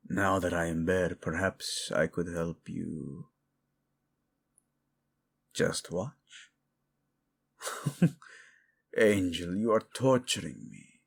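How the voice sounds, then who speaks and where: A male voice actor playing a devoted, possessive royal husband in an intimate romantic audio roleplay, with a husky low voice and formal, teasing speech. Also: full character voice. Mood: flirtatious, yielding. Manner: slow, hushed, teasing, formal wording.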